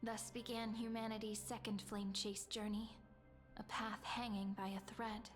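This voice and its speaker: A woman's voice: fairly high pitch, level tone, a little slow, faint music behind, lightly acted. A woman playing a solemn chronicler who narrates a fantasy history in a formal manner for a video game.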